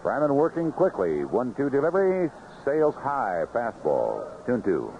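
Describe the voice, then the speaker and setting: An American man calling baseball play-by-play live on the radio in clipped phrases, in an animated manner. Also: mid-range voice, moderate pace, creaky. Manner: animated calls rising sharply on big plays, clipped phrases. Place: ballpark broadcast booth. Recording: noisy, muffled vintage AM radio broadcast.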